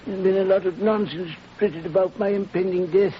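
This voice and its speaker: A man playing an old, ailing, remorseful playwright in a 1940s radio drama, confessing slowly and weightily on his deathbed. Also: sits high, steady tone, natural voice. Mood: weary, grave. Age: older. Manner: slow, confessional, weighty delivery. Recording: muffled, hissy old radio recording.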